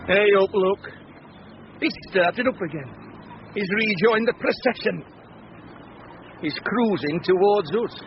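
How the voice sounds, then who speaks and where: Angry boat owner, highish voice, swearing and scornful, muffled noisy radio play. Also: male voice, expressive, slow pace, long pauses, exaggerated voice. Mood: bitter, vengeful. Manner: blustering, swearing, sarcastic. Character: furious gruff shipwrecked boat owner, vengeful and scornful. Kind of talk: radio drama acting. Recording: muffled radio recording with loud outdoor noise.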